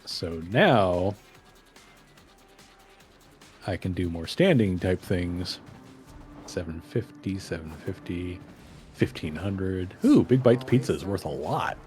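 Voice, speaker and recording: Brief: low-pitched male gamer, wry and relaxed, faint game music behind. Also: a little slow. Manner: relaxed, wry, reads menu items aloud. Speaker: co-op game player. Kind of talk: casual gaming chat.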